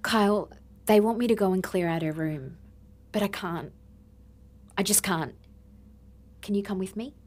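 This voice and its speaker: An actress playing a withdrawn, guarded young woman, speaking flatly and reticently in naturalistic audio drama dialogue. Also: medium pitch, unhurried, pauses often, lightly acted. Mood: numb, subdued.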